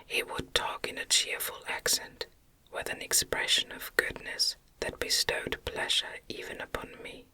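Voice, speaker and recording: A woman narrating a literary novel in a gentle, unhurried ASMR whisper. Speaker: audiobook narrator.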